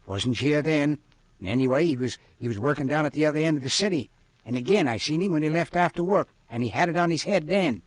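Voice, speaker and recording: Stubborn rustic graveyard caretaker. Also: male voice, on the high side, animated, fast talker, dry recording. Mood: insistent. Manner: dogged, folksy rural dialect. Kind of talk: old-time radio horror acting.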